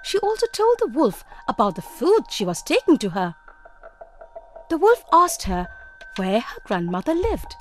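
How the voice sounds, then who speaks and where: A woman's voice: high voice, big pitch swings, unhurried. A woman narrating scripted fairy tales for young children as a storyteller. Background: music.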